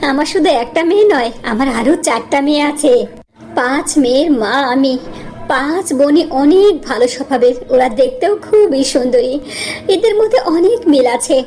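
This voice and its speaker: Woman acting a humble, plaintive high-voiced poor mother. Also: animated, normal speed, darkish tone. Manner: deferential, plaintive. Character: humble, long-suffering poor mother of five daughters. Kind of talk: animated story voice acting.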